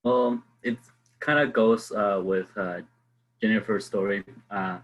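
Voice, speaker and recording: Very low-voiced man, earnest and halting. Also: steady tone, low-quality recording. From Mexico. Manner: earnest, halting with many "uh" fillers. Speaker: student panelist.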